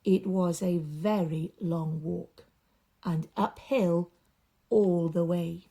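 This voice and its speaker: A woman reading a storybook aloud for young children, with dramatic emphasis and drawn-out repeated words. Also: fairly low pitch, steady tone, gravelly, warm tone.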